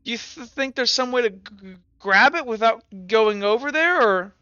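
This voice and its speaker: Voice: medium pitch, expressive, normal speed, sharp tone, clean tone, no echo, pitched above the performer's own voice. A game master voicing a nervous young male companion who stammers on his words. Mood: hesitant. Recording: dull lo-fi recording.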